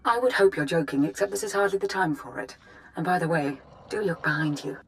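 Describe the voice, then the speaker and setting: A woman's voice: on the low side, fairly bright tone, slight background noise, low-quality recording. Composed, dryly formal woman officer. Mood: dryly unimpressed. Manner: measured, formal, dry. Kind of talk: video game cutscene acting.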